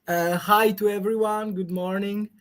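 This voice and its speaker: A young man with an Albanian accent, a caller who speaks haltingly with many restarts and fillers. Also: sits high, fairly even intonation, a bit bright. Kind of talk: hesitant call-in chat.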